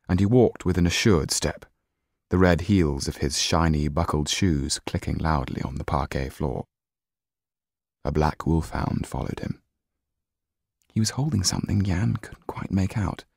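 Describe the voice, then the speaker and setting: Male audiobook narrator: low, gravelly, expressive, measured reading. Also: no room sound, studio quality. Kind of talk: scripted storybook narration.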